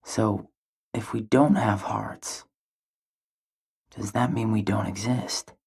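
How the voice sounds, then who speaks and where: A man playing a withdrawn, naive young teenage boy in a video game, with a soft, breathy voice and a subdued, wondering delivery. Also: lowish voice, steady tone, takes it slowly, full character voice. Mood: pensive, subdued. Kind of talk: introspective video game character monologue.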